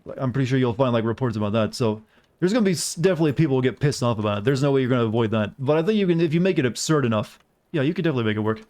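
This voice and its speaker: A man's voice: medium pitch, expressive, racing, a little mellow. A man from the southern United States with an American accent, giving casual, rambling live gameplay commentary with jokey, self-deprecating asides to viewers. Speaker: game streamer.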